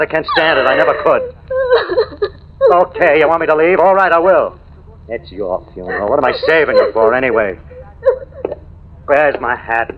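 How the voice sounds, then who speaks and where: A man's voice: on the high side, a little hiss or hum, close to an everyday voice. An actor playing a menacing, unhinged tough-guy lover, brooding and prone to outbursts, in old-time radio noir style. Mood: exasperated, shouting. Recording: tinny vintage radio recording with music.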